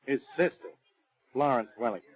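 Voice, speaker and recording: Man acting a gruff radio police inspector. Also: mid-range voice, normal speed, close to an everyday voice. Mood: matter-of-fact. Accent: American. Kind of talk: 1940s radio crime drama acting. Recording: restored 1940s radio recording.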